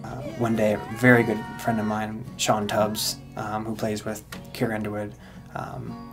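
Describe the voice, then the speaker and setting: Earnest man over steady background music. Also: on the low side. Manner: earnest, many filler pauses. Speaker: country singer-songwriter. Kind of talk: casual interview chat. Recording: music playing underneath.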